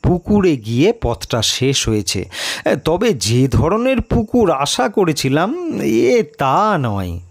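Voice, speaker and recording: Male audiobook narrator, unhurried delivery, lively pitch movement, dramatic storytelling. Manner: measured, expressive storytelling with pauses. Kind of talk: dramatic audiobook narration of a first-person mystery tale.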